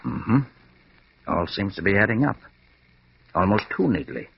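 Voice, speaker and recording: An actor playing a confident, shrewd master detective in an old radio drama, speaking with brisk authority. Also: male voice, lowish voice, big pitch swings, gravelly, slightly rough, exaggerated voice. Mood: brisk, deductive. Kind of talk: 1940s-50s radio drama acting. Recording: muffled, noisy vintage radio recording.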